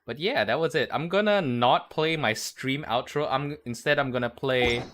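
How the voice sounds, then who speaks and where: A man's voice: medium pitch, moderate pace, fairly bright tone. A man livestreaming a game, reacting and chatting casually in a loose, off-the-cuff way. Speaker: livestreaming gamer.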